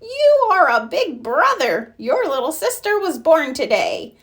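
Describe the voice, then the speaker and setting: A joyful grandmother turtle from a picture book, sounding delighted with every word, as a woman reading aloud acts her out. Her voice sits fairly high and leaps about in an animated way. She speaks slowly. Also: exaggerated voice. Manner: joyful, congratulating.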